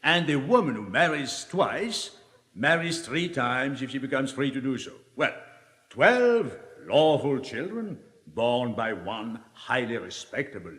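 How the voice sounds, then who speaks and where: Suave cynical libertine, lively swinging intonation, declamatory 1950s stage drama, long hall reverb. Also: male voice, mid-range voice. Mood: teasing, argumentative. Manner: rhetorical, deliberate, declamatory. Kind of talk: 1950s theatrical dramatic reading.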